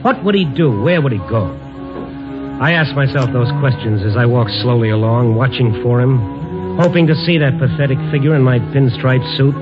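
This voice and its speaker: A muffled old radio recording with music behind an actor as a hard-boiled male reporter. His mid-pitched voice is clipped and wry, tough on the surface with compassion underneath. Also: natural voice. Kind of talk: old-time radio drama acting with first-person narration.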